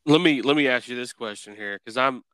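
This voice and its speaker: A man's voice: mid-range voice, a little fast, a bit bright, no echo, soft background music. A man hosting a casual interview, rambling and circling his way toward a question. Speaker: podcast host interviewer.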